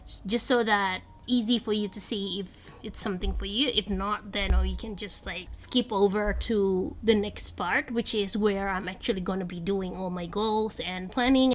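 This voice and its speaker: A woman's voice: medium pitch, slight background noise. Congested woman chatting off camera. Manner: chatty, rambling, giggly. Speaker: vlogger. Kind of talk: casual voice-over walkthrough. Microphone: voice-over, off camera.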